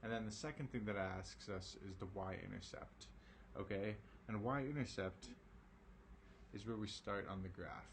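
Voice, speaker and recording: A man with a low, raspy voice works through an algebra lesson, tired from the late hour. He keeps it casual and reassuring, checking in with lots of ums and okays. A faint noise sits behind him. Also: normal speed. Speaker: math teacher. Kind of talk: recorded math lesson.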